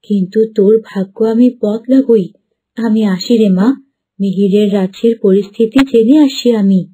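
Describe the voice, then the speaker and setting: A woman's voice: medium pitch, steady tone, moderate pace, warm tone, husky, lightly acted, rough recording. A woman voicing a wronged, protective mother turned into a bird, speaking earnestly and dramatically in a fairy-tale cartoon. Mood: sorrowful, determined.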